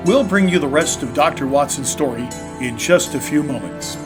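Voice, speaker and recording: A man's voice: a little high, animated, moderate pace. Male radio-style announcer over music. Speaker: announcer and narrator host. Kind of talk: old-time radio style announcing, scripted. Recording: music under the voice.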